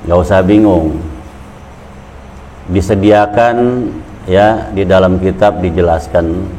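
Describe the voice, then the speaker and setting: Low-voiced man preaching casually. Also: a little mellow, slight background noise, poor audio. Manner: conversational, repeats phrases, builds to emphatic stresses. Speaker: Islamic preacher. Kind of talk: colloquial sermon at a weekly study gathering.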